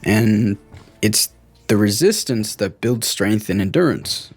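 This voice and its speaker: A man's voice: on the low side, expressive, slow, a little mellow, slightly rough, faint music behind. A young American man talking candidly and informally about himself in a reflective personal conversation. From United States, born in Guatemala. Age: young adult. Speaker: podcast co-host telling his own life story.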